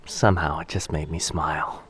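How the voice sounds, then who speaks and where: A man playing a shy, sweet, anxious young stranger in a boyfriend ASMR roleplay, speaking hesitantly, softly and earnestly. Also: very deep voice, steady tone, moderate pace, husky, full character voice. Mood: earnest, grateful. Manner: hesitant, soft-spoken, earnest, self-doubting.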